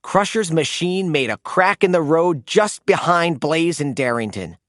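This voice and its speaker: Slow, very animated storybook narrator. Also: medium pitch, no room sound, studio quality. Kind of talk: animated children's read-aloud narration.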